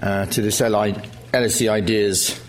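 A man's voice: lowish voice, fairly even intonation, normal speed, slight background noise. Man, middle-aged, event chair; formal intro, hesitant, wry. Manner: hesitant, frequent uh fillers, wry humor. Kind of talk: formal introduction speech with jokes. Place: lecture hall with audience.

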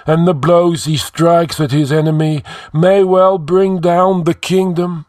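A man's voice: a little high, darkish tone, no echo, clean studio sound, pitched above the performer's own voice. A man voicing an ancient ghostly sage in a fantasy audiobook, solemn, commanding and oratorical in an archaic way.

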